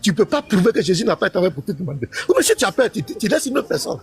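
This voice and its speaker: A man's voice: high voice, very animated, racing, vocal fry, soft background music. A man, a pastor, arguing insistently in a heated live-stream debate, cutting in and repeating himself.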